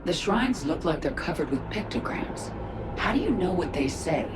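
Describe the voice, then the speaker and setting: A woman's voice: on the low side, big pitch swings, normal speed, noisy background. An actress playing a tough, wary female warrior in a game cutscene, speaking bluntly and informally. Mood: impatient, curious. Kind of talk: dramatic game cutscene acting. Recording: echoing voice over cinematic music.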